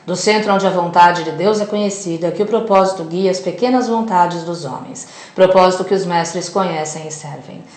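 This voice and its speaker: A woman with a lowish voice hosts a spiritual radio program. She leads prayers and introduces guests in a devotional tone. The studio sounds roomy. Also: moderate pace, few pauses, a little mellow. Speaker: host.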